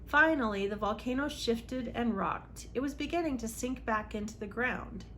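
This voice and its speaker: A woman's voice: on the high side, normal speed, a little hiss or hum. A woman reading a storybook aloud for children in a dramatic, emphatic way. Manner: dramatic, emphatic on exciting moments. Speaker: read-aloud host for children.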